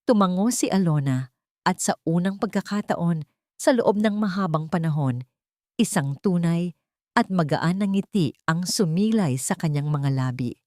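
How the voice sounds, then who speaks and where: A woman's voice: lowish voice, lively intonation, moderate pace, dark tone, no room sound, studio quality. A woman reading a scripted dramatic story as an audiobook narrator.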